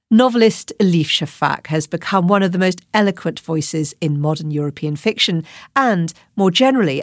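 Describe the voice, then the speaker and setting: A woman hosting a podcast, reading a scripted introduction and asking interview questions in a clear, measured way. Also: lowish voice, dry recording, clean studio sound. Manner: clear, measured presenter delivery. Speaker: podcast host.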